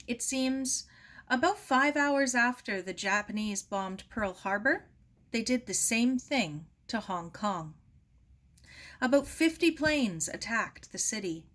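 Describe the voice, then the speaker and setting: Grieving older father, voiced by a female reader, pained, halting, apologetic, helpless, storybook character. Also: medium pitch, a bit bright. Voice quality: rough with pain. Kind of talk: storybook read-aloud.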